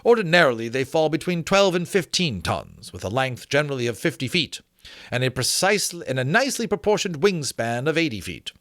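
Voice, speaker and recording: A man voicing a pompous, learned gentleman scholar in a dramatic storybook reading, formal and emphatic as he lectures. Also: fairly high pitch, sing-song, brisk, no echo, clean studio sound, pitched above the performer's own voice. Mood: lecturing, emphatic.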